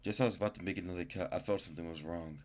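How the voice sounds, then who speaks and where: Low-pitched man narrating a visual novel. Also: fairly even intonation, rapid-fire, darkish tone. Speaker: gaming YouTuber.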